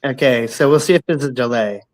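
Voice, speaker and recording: A man's voice: mid-range voice, fairly quick. A man who is a guest on a casual livestream chat over a video call, supportive and full of short affirmations.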